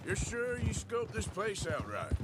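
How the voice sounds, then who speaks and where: A seasoned, skeptical gunslinger from a western video game. His delivery is dry and sardonic, swinging widely in pitch. Loud music plays beneath the voice, along with horse hooves. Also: sing-song, unhurried, rough recording. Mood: sardonic needling. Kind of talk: video game cutscene acting.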